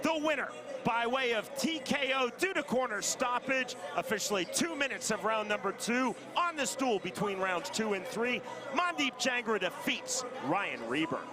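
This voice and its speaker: A man's voice: very high-pitched, expressive, moderate pace, over music, a crowd in the background. A man doing play-by-play commentary on a fight broadcast, with a polished, formal broadcaster delivery.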